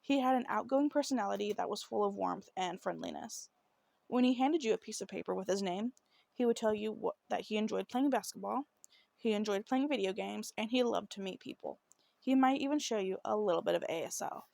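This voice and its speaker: A woman with a slightly rough, mid-pitched voice hosts a true crime podcast and works through a case from her notes. She apologizes often and stumbles over her words. The recording is dry and close. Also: brisk, darkish tone, no echo. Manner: apologetic, trips over words, many fillers. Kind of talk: solo case narration from notes.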